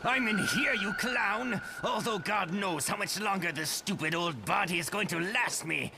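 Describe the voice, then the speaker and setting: A man acting a sneering possessed mutant with a gravelly voice in a motion comic. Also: high-pitched, normal speed. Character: sneering possessed mutant in a failing old body. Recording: music behind the voice.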